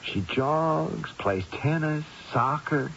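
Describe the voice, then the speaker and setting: An actor playing a mild young grown son and expectant father in an old-time radio drama, speaking in a halting, hesitant way. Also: fairly high pitch, animated, vocal fry, fairly bright tone, heavily acted. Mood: hesitant, concerned. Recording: hissy, dull old radio recording.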